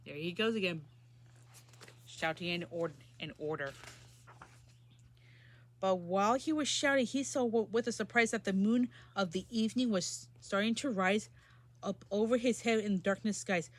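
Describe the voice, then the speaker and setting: A woman's voice: medium pitch, normal speed, long pauses. Woman reading a children's story aloud. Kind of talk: children's storybook read-aloud with simple asides.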